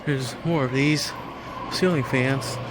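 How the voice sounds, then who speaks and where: A man's voice: medium pitch, steady tone, slow, background noise. A man giving a rambling, off-the-cuff walkthrough commentary inside a large hardware store, talking like an enthusiastic hobbyist filming for a video. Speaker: amateur video maker. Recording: store music playing behind.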